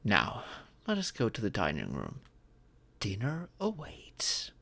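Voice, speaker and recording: A man voicing a gentle, proud dragon father and former king in a comfort roleplay, speaking formally and tenderly. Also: on the low side, wildly swooping pitch, gravelly, no room sound, heavily acted. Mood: reassuring, fatherly. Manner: formal, tender, paternal speech with deliberate pauses. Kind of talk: scripted comfort ASMR roleplay.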